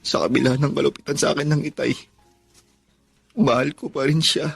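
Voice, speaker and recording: Pleading, devoted son, highish voice. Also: male voice, little pitch movement, normal speed, gravelly, slightly rough, dry recording, soft background music, heavily acted. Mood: sorrowful, resolute. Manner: earnest, pleading, respectful. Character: devoted, long-suffering poor son, gentle and pleading. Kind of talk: Tagalog radio drama acting.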